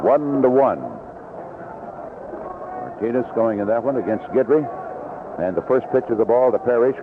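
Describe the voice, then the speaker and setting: A North American man calling baseball play-by-play as a live radio announcer. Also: mid-range voice. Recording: tinny, noisy vintage AM radio broadcast.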